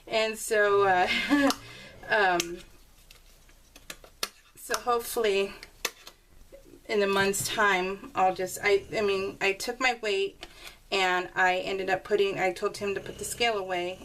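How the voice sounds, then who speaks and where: A woman's voice: medium pitch, takes it slowly, long pauses, a little hiss or hum. Chatty woman, slightly distant mic. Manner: chatty, animated when retelling conversations. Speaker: crafting vlogger. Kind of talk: casual chat, rambling personal storytelling over a craft process video. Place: indoors at a craft table.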